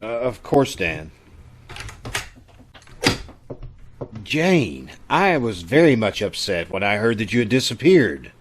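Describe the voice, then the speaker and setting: An actor playing a concerned, disapproving doctor in an old-time radio drama, speaking earnestly and haltingly. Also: male voice, on the low side, big pitch swings. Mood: concerned, probing.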